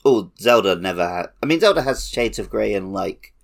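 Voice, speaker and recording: A man's voice: lowish voice, animated, moderate pace, creaky. A man co-hosting a casual chat, with a blunt, joking manner. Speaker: podcast co-host.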